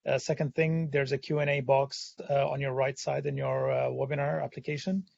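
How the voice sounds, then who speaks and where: A man with a hoarse, gravelly voice, worn out from talking, walks through a technical topic in a webinar. He pauses often on "uh" and keeps a mid-pitched, steady delivery. The sound is dry and a bit dull. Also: dry recording. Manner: hesitant, many "uh" fillers. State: hoarse, has lost his voice. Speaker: webinar presenter. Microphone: studio condenser microphone.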